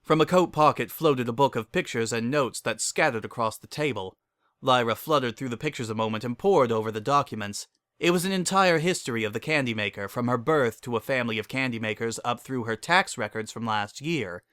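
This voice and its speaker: A man's voice: medium pitch, brisk, a bit bright, smooth, no room sound, studio quality. A man giving a scripted, dramatic narration of a story.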